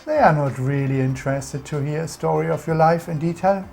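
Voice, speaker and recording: A woman's voice: low voice, a little fast, gravelly, darkish tone, soft background music. A woman with a German accent, narrating a scripted explainer about English culture in an instructive, emphatic way.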